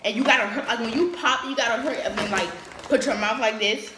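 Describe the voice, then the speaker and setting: A young woman chatting casually to camera in an excitable, informal, slangy way, as the host of a home challenge vlog. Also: on the high side, fairly quick. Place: small room at home, indoors. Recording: roomy echo, noisy home laptop recording.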